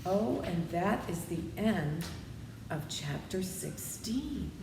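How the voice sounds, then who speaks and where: A woman's voice: fairly low pitch, animated, slow. A woman reading a children's story aloud, with friendly asides to her listeners. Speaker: storybook reader. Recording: roomy echo with background noise.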